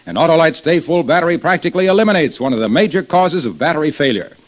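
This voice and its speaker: A pompous pitchman acted by a man orates in a fairly high voice that swoops up and down. He is emphatic and grandiose, in the style of a 1940s radio commercial. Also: lively intonation, fast, lightly acted. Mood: pompous, eager. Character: pompous, zealous pitchman rehearsing a grand oration. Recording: vintage radio broadcast recording.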